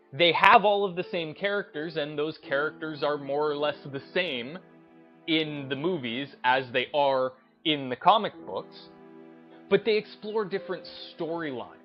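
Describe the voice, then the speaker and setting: Man giving halting commentary, slightly dull sound. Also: fairly high pitch. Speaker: gaming video commentator. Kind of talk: off-the-cuff opinion commentary.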